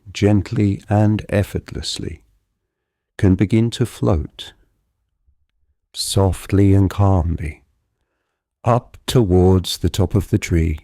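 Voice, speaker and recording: Male voice, low-pitched, mellow, gentle hypnotic meditation narration, measured and calm, close dry sound. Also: dry recording. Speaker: guided visualisation narrator.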